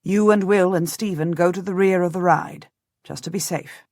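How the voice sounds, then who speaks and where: A woman voicing a steady, cautious nobleman husband who speaks in a calm, measured, commanding way. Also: fairly low pitch, no echo, clean studio sound, lightly acted. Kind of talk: audiobook character voice.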